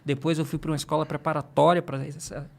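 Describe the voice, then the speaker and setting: A man who works as a teacher, a guest on a podcast, telling anecdotes in a brisk way with gentle self-deprecating humor. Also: fairly high pitch, level tone, creaky. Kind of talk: casual podcast conversation.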